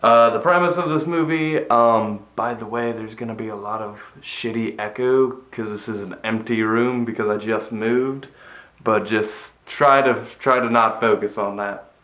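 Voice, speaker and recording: A man with a flat voice giving a rambling, cynical movie review, swearing casually, recorded in an empty room after a move. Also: lowish voice, unhurried, roomy sound.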